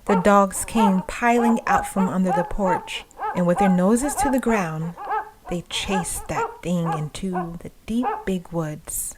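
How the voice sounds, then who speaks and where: A woman storyteller narrating a ghost story in a suspenseful, expressive, dramatic style. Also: fairly low pitch, slow, a loud crowd, low-quality recording. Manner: suspenseful, expressive, dropping to breathy hush. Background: music.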